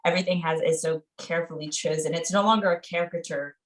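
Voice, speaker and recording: Low-voiced woman, far from the mic. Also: steady tone, quick pace. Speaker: podcast host interviewing a guest. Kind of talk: casual literary discussion.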